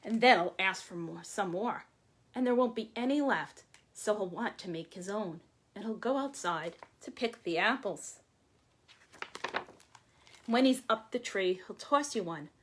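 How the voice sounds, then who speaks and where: A woman in her forties, a children's librarian reading aloud to young children with expressive, deliberate phrasing. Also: mid-range voice. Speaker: children's librarian hosting storytime. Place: small room at home or office, by window. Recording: clearly noisy home video with clicks.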